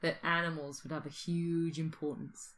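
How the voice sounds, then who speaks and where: Female podcast co-host, lowish voice, informal and playful, speculating off the cuff. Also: little pitch movement, moderate pace, a bit bright. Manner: playful, informal, thinks aloud. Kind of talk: casual speculative chat.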